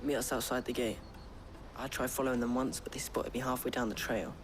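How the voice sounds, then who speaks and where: An actor as a defensive streetwise urchin lad. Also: male voice, mid-range voice, level tone, a little fast, noisy background, lightly acted. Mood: helpful. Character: defensive, streetwise poor urchin boy pickpocket. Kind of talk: video game voice acting.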